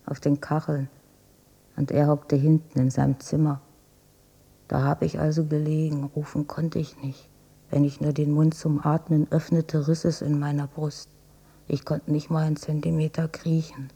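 An actress playing a frail older mother in a radio drama, weak and husky, long-suffering and self-effacing. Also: low-pitched, level tone, normal speed, dark tone, full character voice. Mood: pained, recounting. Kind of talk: intimate radio drama monologue and dialogue. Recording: dull cassette copy of radio play.

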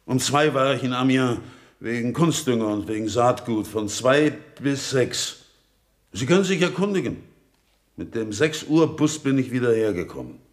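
An actor in a radio play playing a gruff, hot-tempered farmer who is curt and defensive. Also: male voice, mid-range voice, expressive, normal speed, natural voice. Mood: sullen, defensive. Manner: curt, defensive, easily provoked. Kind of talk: German radio play acting.

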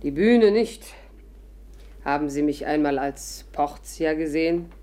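An actress playing an imperious, curious old stage diva with a declamatory, condescending manner in a 1950s radio play. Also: female voice, low voice, measured pace, mellow. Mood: grand, declaiming. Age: older.

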